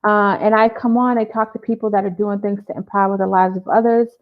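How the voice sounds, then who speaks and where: A woman's voice: mid-range voice, steady tone, a little fast, narrow, tinny audio. A woman therapist hosting a casual live video interview, talking with many ums and uhs. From Boston area. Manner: warm-up chatter with many fillers. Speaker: therapist and live show host.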